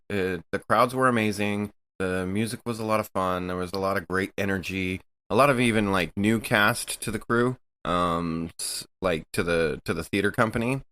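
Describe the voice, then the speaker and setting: A man's voice: low voice, normal speed, hardly any pauses, fairly bright tone. A man who is a podcast cast member, chatting casually. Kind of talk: casual chat.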